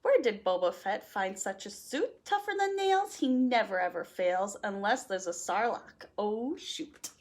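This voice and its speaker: A woman reading a rhyming children's picture book aloud in an expressive, playful, sing-song way. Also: mid-range voice, unhurried, few pauses. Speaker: storytime reader.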